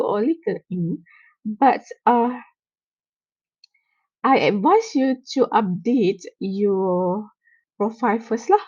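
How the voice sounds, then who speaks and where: Slow, nasal woman lecturing. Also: medium pitch, dark tone, husky, no room sound, highs cut off. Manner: explains slowly with many fillers and check-ins. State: nasal from a cold. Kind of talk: classroom lecture on software tools.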